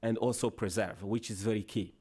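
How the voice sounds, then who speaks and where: A man whose first language is Swahili gives a conference presentation with slides, in a halting way full of restarts and "you know" fillers. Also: lowish voice, fairly even intonation, warm tone, slightly rough. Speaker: conference panelist. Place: conference hall.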